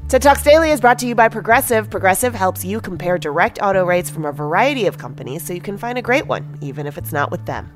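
A woman reading scripted sponsor messages for a podcast in an announcer's style. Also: on the low side. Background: music.

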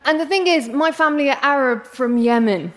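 A woman's voice: high voice, fairly quick, a bit bright, slight background noise. Passionate English woman giving a talk. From England. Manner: passionate, self-deprecating humor. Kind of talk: prepared public talk.

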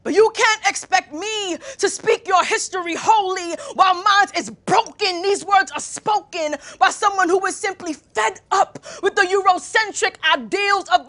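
A young woman poet with a very high, forceful voice. She declaims spoken word in an emphatic rhythm, shaping each phrase with deliberate, articulate diction. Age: young adult. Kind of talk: performed spoken word poetry.

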